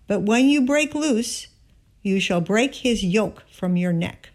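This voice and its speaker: A woman's voice: medium pitch, animated, slow, a little mellow, voice pushed up. A woman reading the words of an old, blind father in a scripture story, grave and resigned. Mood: solemn, resigned.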